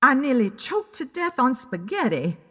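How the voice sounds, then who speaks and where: A woman voicing a flighty, excitable, melodramatic female client in an audio story. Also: high voice, normal speed, slightly rough, big-room sound, pitched above the performer's own voice, heavily acted. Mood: agitated.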